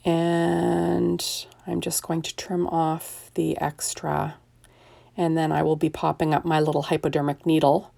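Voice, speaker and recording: Older woman host, low husky rough voice with fry, chatty self-deprecating craft tutorial. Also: completely flat delivery, a little slow, warm tone. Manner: chatty, self-deprecating, narrates each step with "there we go". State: hoarse, recovering from illness. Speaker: card-making video host. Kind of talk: casual crafting tutorial narration.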